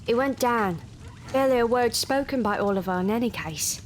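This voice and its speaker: A woman's voice: fairly high pitch, expressive, brisk, very noisy, close to an everyday voice. A woman playing a streetwise, unflustered member of a gang of thieves in an audio drama, colloquial and matter-of-fact.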